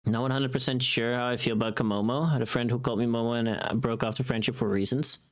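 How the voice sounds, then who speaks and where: A woman's voice: a bass voice, fairly even intonation, fast talker. A woman livestreamer chatting casually with her viewers in a playful, teasing and bluntly informal way. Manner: playful, teasing, reacting to chat.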